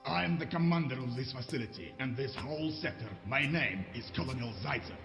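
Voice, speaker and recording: Gravelly, cruel colonel. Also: male voice, medium pitch, highs cut off. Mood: cold menace. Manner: menacing, deliberate, interrogating. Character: cruel, violent Soviet colonel, menacing base commander. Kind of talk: video game villain acting. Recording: echoey, under loud music.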